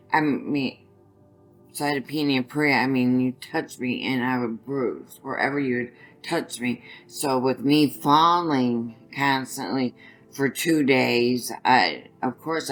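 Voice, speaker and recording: An older woman with a raspy voice, telling her own story as an interview guest, halting and restarting as she goes. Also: very deep voice, poor audio. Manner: halting, restarts often while recounting.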